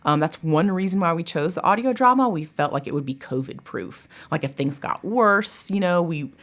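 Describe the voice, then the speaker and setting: A woman's voice: lowish voice, animated, normal speed. A woman talking casually and enthusiastically as an interview guest, in a chatty way. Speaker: guest, college theatre director.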